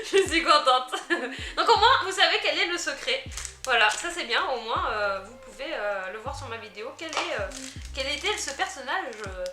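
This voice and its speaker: A woman chatting through an unboxing vlog, easily delighted and quick to giggle. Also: high-pitched, expressive, echoey room, over music. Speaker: unboxing vlogger. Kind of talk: casual product unboxing chat.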